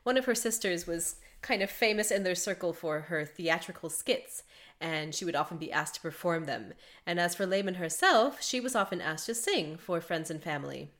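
A woman's voice: fairly low pitch, expressive, normal speed, a bit bright. A woman hosting a podcast, a mezzo-soprano telling a composer's life in a chatty way, laughing often between facts. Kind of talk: conversational podcast storytelling.

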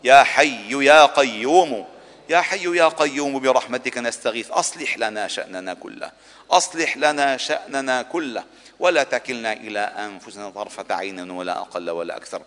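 A long reverberant tail surrounds this man's mid-pitched voice. He speaks as a mosque preacher giving a sermon and prayer. His delivery is solemn and formal, with measured rhetorical phrasing. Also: animated, a bit bright. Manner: solemn, formal rhetorical cadence, insistent repeated pleas. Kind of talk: Arabic Friday sermon and supplication. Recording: long echoing reverb of a large hall.

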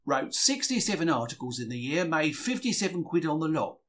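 A man's voice: fairly high pitch, very animated, brisk. A man reading a diary as a chatty, self-deprecating 1940s market bookseller, wry and plain-spoken. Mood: wry, indignant. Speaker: reader.

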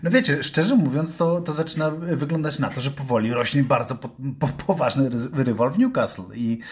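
A man chatting casually about football as a panellist, halting and repeating his words. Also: mid-range voice, darkish tone. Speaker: podcast panellist.

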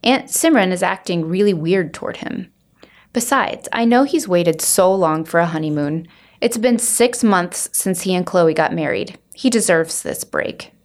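A woman's voice: on the low side, expressive, a little slow. Woman expressively reading a children's story. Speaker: reader of a children's book. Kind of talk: expressive storybook read-aloud.

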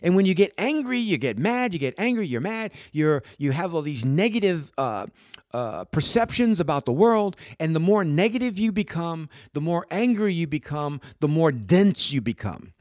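A man's voice: on the high side, sing-song, normal speed, darkish tone, dry recording, clean studio sound. A man giving an informal spiritual monologue to his listeners, speaking emphatically and repeating himself, with check-in tags and chuckles.

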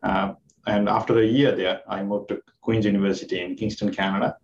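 A man from Nepal, an oncologist, answers interview questions in a soft, hesitant way. He speaks from a distance in an echoey room, and the remote call makes the sound dull. A faint noise runs underneath. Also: fairly low pitch, little pitch movement, brisk. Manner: soft-spoken, hesitant with many fillers. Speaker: oncologist guest.

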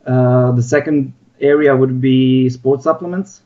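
A man's voice: mid-range voice, steady tone, unhurried, warm tone. A young man with a Balkan accent, chatting casually as a podcast guest over a lo-fi internet call. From Bosnia. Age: young adult. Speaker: podcast guest, sports science researcher. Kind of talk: casual chat. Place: remote Skype call. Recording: dull, lo-fi internet call audio.

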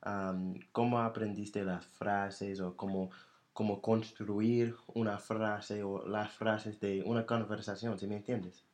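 Man, low voice, slow, halting, restarts phrases, casual interview. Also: little pitch movement, a little mellow. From United States. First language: English. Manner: halting, searching for words with many restarts. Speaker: podcast co-host.

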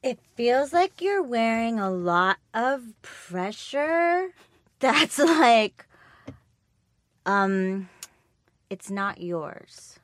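A woman co-hosting a podcast in casual chat, frank and lively, sprinkling "like" and joking. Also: on the high side, very slow, pauses often, dry recording, clean studio sound. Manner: lively, frank, fond of "like" and mock voices. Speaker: podcast co-host.

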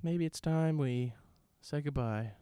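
A man hosting a podcast in casual, jokey and playfully animated banter. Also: on the high side, takes it slowly, smooth, dry recording, studio quality. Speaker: podcast host. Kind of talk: casual banter between co-hosts.

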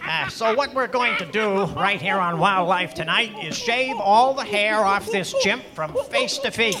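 A man's voice: sits high, sing-song, unhurried. A man playing a brash, glib showman of a wildlife field reporter in a mock live radio broadcast. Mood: excited, gleeful. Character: Buck Logic, excitable brash male field reporter of a wildlife show.

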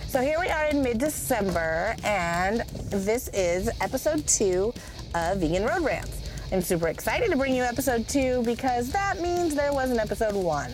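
Brief: woman podcast host, upbeat and chatty, a bit high-voiced, music under her. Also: sing-song, normal speed, rough recording. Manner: upbeat, chatty, self-described loud voice. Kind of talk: podcast intro.

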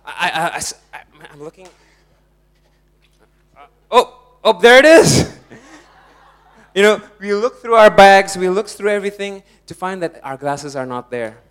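A young man from Indonesia, preaching a lively, jokey sermon to a congregation and laughing often. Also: high-pitched, a little slow, pauses often, clear, resonant tone.

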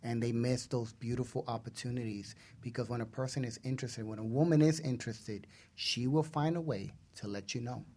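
A man's voice: medium pitch, steady tone, fairly quick, few pauses, a little mellow. A middle-aged man co-hosting a casual radio talk show, speaking earnestly and repeating points for emphasis.

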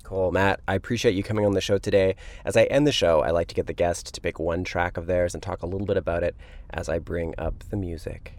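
A man's voice: deep voice, fairly even intonation, fast talker, dry recording. A man hosting a casual interview show, speaking in a conversational way.

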